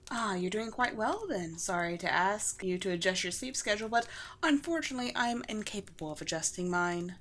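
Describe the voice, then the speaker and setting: Girl doing a vampire queen asmr roleplay, soft-hearted and poetic, kinda formal, average pitch, noisy ambience behind her. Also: expressive, a little fast. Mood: amused, reassuring. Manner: formal, poetic, tender, lightly amused. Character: gentle, serene undead vampire queen, regal and sincere. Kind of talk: scripted ASMR roleplay addressed to the listener.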